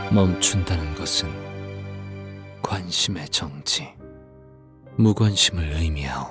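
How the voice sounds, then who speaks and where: An actor playing a weary, desolate man who speaks in solemn, archaic, literary phrases for a dramatic game. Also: sits low.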